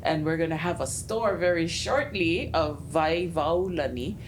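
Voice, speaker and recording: A woman's voice: sits low, a little slow, low-quality recording. A woman from Hawaii hosting a casual interview, asking friendly, playful questions. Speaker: host. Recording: background noise.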